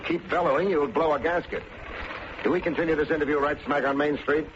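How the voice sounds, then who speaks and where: An actor playing a wry, sardonic big-city private detective who needles people, in old-time radio drama style. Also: male voice, a little high, fairly even intonation, quick pace, lightly acted. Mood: needling, pressing. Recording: dull, noisy vintage radio recording.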